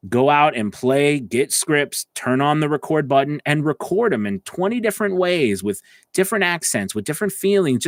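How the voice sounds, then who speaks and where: A man's voice: medium pitch, no echo. Man coaching informally, close dry sound. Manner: conversational, encouraging, addresses listeners directly. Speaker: voice acting coach hosting a live stream. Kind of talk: informal instructional talk to livestream viewers.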